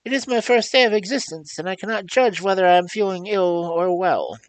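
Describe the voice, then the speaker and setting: A squeaky, gloomy winged creature. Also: male voice, lively intonation, a little fast, no echo, poor audio. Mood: plaintive. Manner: plaintive, wondering.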